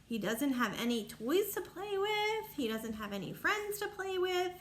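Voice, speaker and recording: A woman's voice: extremely high pitch, very animated, unhurried, slight background noise. A woman teacher explaining a story to young children and putting questions to them.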